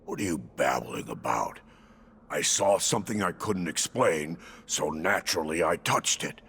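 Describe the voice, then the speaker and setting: An actor playing a boastful, melodramatic warrior who wanders between dimensions, speaking in a grandiose, florid, theatrical way with a gravelly voice in a video game. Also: male voice, animated, unhurried, a little hiss or hum, heavily acted. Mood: boastful, dramatic. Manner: grandiose, florid, swinging from hushed to booming.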